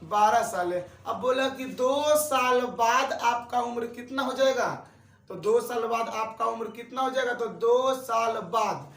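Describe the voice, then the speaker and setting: A man's voice: very high voice, unhurried, a bit bright, echoey room, far from the mic. A man in his twenties teaching math, who repeats each step and asks his students questions. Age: 20s. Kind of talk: classroom-style lesson.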